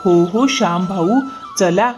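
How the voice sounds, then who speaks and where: A woman voicing a greedy, deceitful farmer man in a moral-story cartoon, flattering and easily flustered. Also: lowish voice, slow, soft background music. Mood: feigned alarm.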